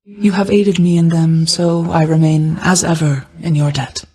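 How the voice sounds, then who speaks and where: An actress playing a stern yet caring motherly goddess of night in a video game, speaking in formal, measured, solemn lines. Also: on the low side, fairly even intonation, mellow, slightly rough. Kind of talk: scripted video game character dialogue, formal archaic speech.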